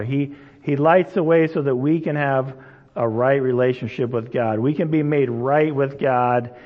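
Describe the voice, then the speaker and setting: Conversational man preaching in a reverberant hall. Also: medium pitch. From Indonesia. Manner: conversational, storytelling, frequent "right?" checks. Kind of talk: sermon. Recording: dull sound, long hall reverb.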